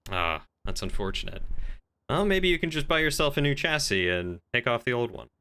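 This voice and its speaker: Affable male tavern keeper, acted by a man for game roleplay: clear, bright, courteous, folksy. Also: mid-range voice, very animated, fairly quick. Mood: curious, polite. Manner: polite, folksy, hesitant small talk.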